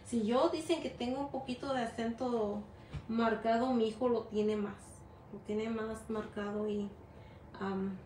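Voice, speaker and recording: A woman's voice: fairly high pitch, steady tone, a little slow, noisy background. Chatty Chicana woman teaching, echoey room. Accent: Mexican-American Spanish. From United States. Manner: rambling and chatty, many fillers, mixes in English words. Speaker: gelatin art teacher. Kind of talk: casual hands-on class demonstration. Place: indoor work table. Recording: echoey room with faint ambience.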